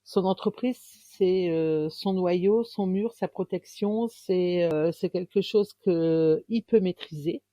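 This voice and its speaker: A woman in her fifties from northern France, a romance author chatting in an interview, pausing often and restarting her sentences. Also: lowish voice, dark tone, no room sound. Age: 50s. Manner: hesitant, many pauses and restarts. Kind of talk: casual interview chat.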